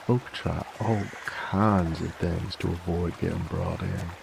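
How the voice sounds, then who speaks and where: Man playing a deep, gravelly werewolf bounty hunter. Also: steady tone, takes it slowly, noisy background, heavily acted. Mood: smug, taunting. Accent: American Western drawl. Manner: drawling, folksy, profane, taunting. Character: gruff, cocky male werewolf bounty hunter of the Old West. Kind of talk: dramatic roleplay audio monologue.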